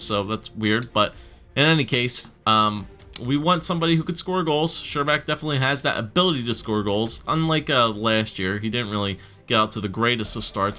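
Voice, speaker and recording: Upbeat young man, bright gaming commentary. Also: fairly low pitch. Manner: upbeat, chatty, many fillers and self-corrections. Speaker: gaming YouTuber. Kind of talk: casual gameplay commentary.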